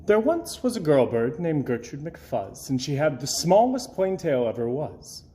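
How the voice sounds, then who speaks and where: A man performs an expressive read-aloud for children. His delivery is theatrical and very animated in pitch, leaning on key words and pausing for effect. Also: a little hiss or hum. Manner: theatrical, dramatic emphasis and pauses. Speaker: storybook reader.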